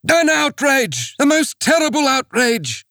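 A man doing a frantic high-voiced preacher. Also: big pitch swings, a little slow, bright, forceful tone, no room sound, studio quality, full character voice. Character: frantic desperate male missionary preacher.

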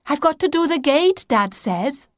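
A woman's voice: sits high, sing-song, measured pace, no room sound, clean studio sound, pitched above the performer's own voice. A woman voicing a puzzled, dutiful nine-year-old farm boy in an audiobook.

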